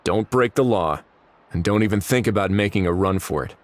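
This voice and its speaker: An actor playing a calm, authoritative male warden in a video game, speaking in a cool, measured, dryly commanding way. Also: fairly low pitch, close to an everyday voice. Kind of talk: video game cutscene dialogue.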